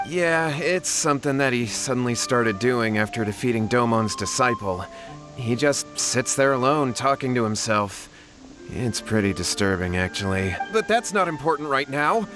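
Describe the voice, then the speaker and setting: A man's voice: medium pitch, lively intonation, normal speed, lightly acted. An actor playing a fretful young man searching for his missing brother, speaking earnestly over game music. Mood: worried.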